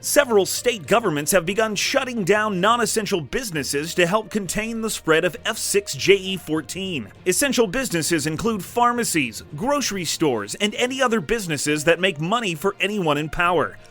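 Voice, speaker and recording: A man as a high, bright TV news anchor. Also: expressive, normal speed, hardly any pauses, soft background music. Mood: brisk, polished. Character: slick, upbeat local TV news anchor with sardonic copy. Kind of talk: parody TV news update.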